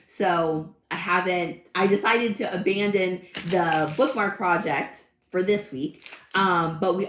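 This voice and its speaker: A woman in her thirties hosting a casual craft livestream, chatty and friendly, with a slightly hoarse voice. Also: on the low side, roomy sound, a little hiss or hum. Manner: chatty and friendly, greets live commenters by name. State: slightly hoarse, recovering from illness. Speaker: craft channel host. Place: home craft room.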